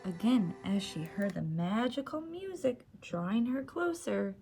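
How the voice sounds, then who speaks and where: A woman telling stories to young children as a library storyteller, with simple, encouraging address and an animated picture-book reading style. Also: mid-range voice, unhurried, vocal fry, faint music behind.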